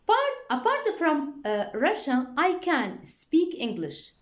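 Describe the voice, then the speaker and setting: A woman's voice: sits high, huge pitch swings, slow pace. A woman teacher giving a classroom lesson, often checking in with her students and using frequent fillers.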